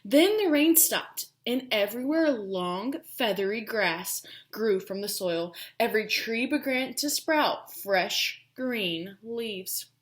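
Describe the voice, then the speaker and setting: A woman teacher reads a picture book to small children. Her pitch rises and falls a great deal and her pace is unhurried. She is emphatic and often thinks aloud between phrases. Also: lively intonation. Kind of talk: children's storybook read-aloud with questions.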